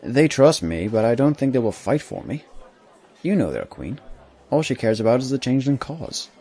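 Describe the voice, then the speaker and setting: Cautious stallion diplomat, played by a man: husky, lively pitch, measured and wary audio drama delivery. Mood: reserved. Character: cautious, loyal stallion ambassador to the changelings.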